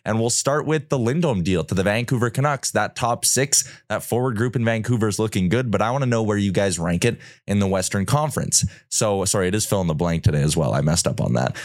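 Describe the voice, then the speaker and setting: A man hosting a casual sports podcast, hoarse and raspy after a weekend of partying, talking in a laid-back, joking way. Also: on the low side, animated, fairly quick, hardly any pauses, no echo, clean studio sound. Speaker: podcast host.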